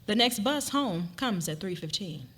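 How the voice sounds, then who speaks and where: A woman's voice: mid-range voice, animated, measured pace, fairly bright tone, breathy edge. A woman narrating a scripted story as a dramatic reading.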